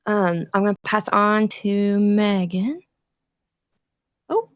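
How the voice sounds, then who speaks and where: A woman's voice: mid-range voice, slow, dry recording, studio quality. A woman moderating a casual live-streamed conversation, passing questions along to guests. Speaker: host, moderator.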